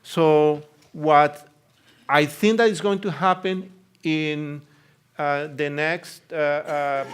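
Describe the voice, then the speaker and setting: A middle-aged man with a strong Colombian Spanish accent, a native Spanish speaker, delivering a conference lecture as a neurologist. His voice sits somewhat high for a man. He speaks earnestly and haltingly, pausing often on filler sounds as he works through his points. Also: clean tone.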